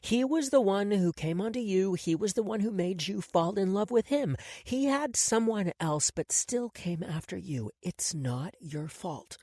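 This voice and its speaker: A woman's voice: medium pitch, animated, unhurried, hardly any pauses, dry recording, clean studio sound. Calliope, a woman voicing a coolly cruel woman. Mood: cold, menacing. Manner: soft, coolly menacing. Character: calm, cruel woman toying with captives.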